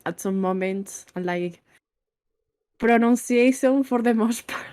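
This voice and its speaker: A woman's voice: fairly high pitch, measured pace, darkish tone. Spanish-accented woman, friendly interview guest. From Spain. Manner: friendly and laughs easily, at times searching for words. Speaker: guest, VTuber artist. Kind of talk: casual interview chat.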